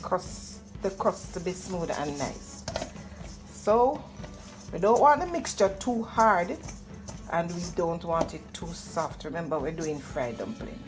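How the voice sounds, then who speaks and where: Middle-aged Jamaican woman, lowish voice, explaining. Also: animated, slow. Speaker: home cook demonstrating a recipe. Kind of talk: casual cooking demonstration. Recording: music playing behind the voice. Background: music.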